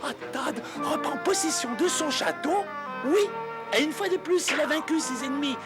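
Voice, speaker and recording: A vain, excitable toad gentleman. The delivery is theatrical and boastful cartoon dubbing, with music behind it. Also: very high-pitched, normal speed. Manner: theatrical, gushing, exclamatory. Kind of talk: French cartoon dub acting.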